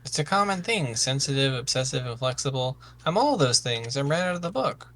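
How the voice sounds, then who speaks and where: A man's voice: mid-range voice, very animated, a little fast, fairly bright tone. A man playing a sensitive, anxious misfit son of warriors, hesitant and earnest, often stammering.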